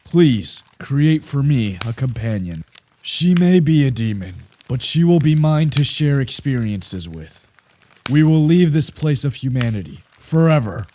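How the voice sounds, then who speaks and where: A man's voice: medium pitch, lively intonation, measured pace, warm tone, a little hiss or hum, close to an everyday voice. A man acting a lonely, vengeful monster in a radio play, speaking in a grave, formal, aggrieved way. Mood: pleading. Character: lonely, vengeful male monster created by a scientist. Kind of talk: radio play narration and dialogue.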